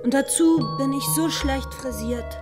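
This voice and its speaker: A woman's voice: sits high, a little slow. An actress playing a reserved, reluctant young woman, a guarded companion in a literary radio play. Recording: music playing behind the voice.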